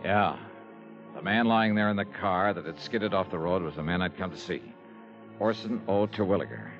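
Old, muffled radio drama with music behind. A male actor plays a hard-boiled investigator with a deep voice, telling his case in a wry, matter-of-fact way. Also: moderate pace, close to an everyday voice. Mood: wry, grim. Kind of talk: 1960s radio detective narration and dialogue.

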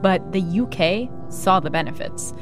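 A woman narrates a scripted history explainer in a conversational, brisk way. Her voice sits somewhat low, and music plays clearly beneath her. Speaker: video host and narrator.

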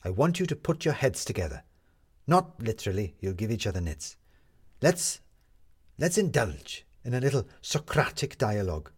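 A man voicing a brisk, determined young woman reporter in a storybook, challenging others with emphatic questions. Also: mid-range voice, sing-song, husky. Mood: rallying. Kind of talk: storybook character dialogue.

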